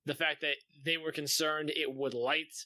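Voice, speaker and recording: A man with a fairly high, bright and forward voice, chatting as a podcast co-host. He tells things in slangy, exclamatory bursts with casual swearing. The sound is close and dry. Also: little pitch movement, normal speed, no echo. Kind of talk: casual chat, animated storytelling.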